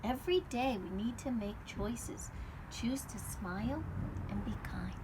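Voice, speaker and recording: A woman's voice: on the high side, unhurried, lightly acted. A woman voicing a patient, kind schoolteacher in a storybook, reassuring and encouraging as she explains. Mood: gentle, earnest. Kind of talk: storybook read-aloud character voice. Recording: loud background noise.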